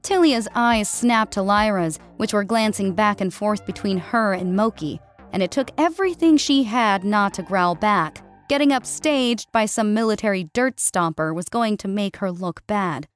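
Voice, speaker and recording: Wanita narator cerita dengan musik samar. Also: female voice, mid-range voice, expressive, moderate pace, soft background music. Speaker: audiobook narrator. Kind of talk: scripted fiction narration.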